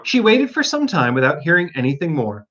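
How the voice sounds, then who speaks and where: A man narrates a storybook as an audiobook reader. His voice is on the high side and very animated, with expressive delivery. The recording is slightly dull and lo-fi. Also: fairly quick, background noise.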